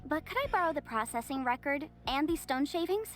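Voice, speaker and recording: A woman's voice: sits high, animated, a little fast. An actress playing a confident young female legal adviser in a video game, businesslike, articulate and courteous. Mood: formal, assured.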